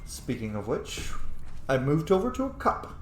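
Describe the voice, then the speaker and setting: A man doing casual gameplay commentary in an excitable, conversational, sweary way. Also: medium pitch, moderate pace, roomy sound, slight background noise. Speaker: gaming video host.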